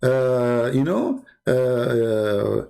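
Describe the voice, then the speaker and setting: Male commentator, Arabic accent and native Arabic speaker; creaky, gravel-edged voice, mid pitch; informal, sardonic, incredulous delivery. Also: very slow, dark tone. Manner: mocking, incredulous, with rhetorical questions. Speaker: host of a religious commentary show. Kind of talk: informal polemical commentary on read-out texts.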